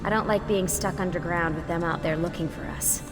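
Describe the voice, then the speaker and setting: A woman's voice: on the low side, fairly even intonation, brisk, music in the background, lightly acted. A woman playing an anxious, wary young woman in hiding, in a video game, speaking with worry. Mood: worried. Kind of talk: video game dramatic acting. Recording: distant, echoey reverb with noise.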